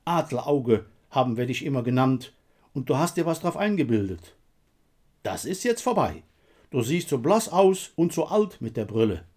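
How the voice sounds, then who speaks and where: A man's voice: medium pitch, sing-song, normal speed, a little mellow. A man voicing a cynical, boastful soldier in a war story, needling with jeering questions. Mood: mocking. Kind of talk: dramatic literary war story reading.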